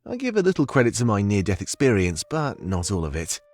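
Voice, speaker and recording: A man's voice: on the low side, wildly swooping pitch, fairly quick, darkish tone. An actor playing an earnest, haunted young man, a loyal companion in a visual novel game, confiding with heartfelt emotion. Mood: grateful, earnest. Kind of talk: scripted visual novel character dialogue. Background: background music.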